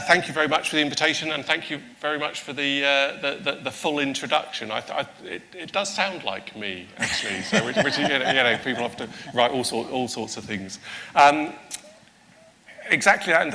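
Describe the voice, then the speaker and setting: A man's voice: medium pitch, moderate pace, vocal fry, bright, forceful tone. A man giving the opening remarks of a talk, hesitant, repeating his thanks and stumbling over words. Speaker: guest speaker. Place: large conference room. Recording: long reverb of a hall, faint ambience.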